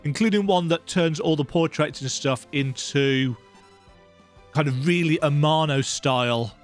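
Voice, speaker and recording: A man's voice: on the high side, unhurried, faint music behind. A man hosting a let's play, giving casual, rambling, conversational commentary on a video game.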